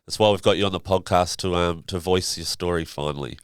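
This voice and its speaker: Deep-voiced man hosting a podcast. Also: a little fast, clear, resonant tone, no echo, studio quality. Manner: relaxed, informal, slangy questions. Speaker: podcast host. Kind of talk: casual interview hosting.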